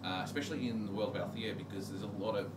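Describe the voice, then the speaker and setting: Brief: quick, rambling game master, distant mic, heavy background noise. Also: male voice, on the low side, roomy sound. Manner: rambling, self-correcting, eager. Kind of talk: casual table chat. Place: room at a gaming table.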